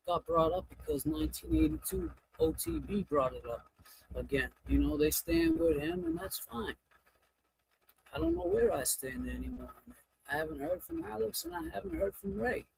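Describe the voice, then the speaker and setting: A man with a high, animated voice chats casually as a livestream host. His speech has a gravelly fry to it and rambles along, often dropping a thought halfway. There is faint noise behind him. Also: low-quality recording. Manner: rambling, halting, often breaking off mid-thought.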